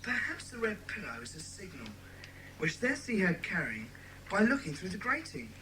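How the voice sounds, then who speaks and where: A woman's voice: mid-range voice, sing-song, normal speed, background noise, natural voice. A woman acting a keen, self-assured schoolboy club member in a dramatised children's audiobook. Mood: thoughtful.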